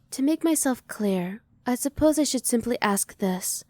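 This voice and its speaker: A woman voicing a curious, emotionally reserved alien scientist in an ASMR roleplay, speaking softly in measured, formal phrases. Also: on the high side, lively intonation, no room sound. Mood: apologetic, restrained. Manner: soft, measured, formal, restrained. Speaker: voice actor.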